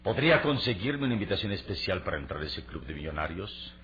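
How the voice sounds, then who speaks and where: Confident radio-serial hero, bright forceful tone, lively intonation, measured pauses, courteous, suave. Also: male voice, low voice. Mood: calm, probing. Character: suave, confident adventurer hero, calm and calculating. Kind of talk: vintage radio drama acting. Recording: dull, noisy old radio drama recording.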